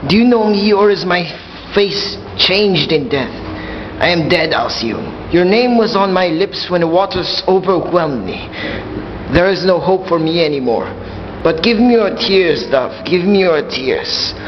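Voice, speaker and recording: A man's voice: high voice, lively intonation, measured pace. A man playing the solemn, pleading ghost of a drowned husband in an amateur radio play. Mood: mournful pleading. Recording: muffled, faint noise, long reverb.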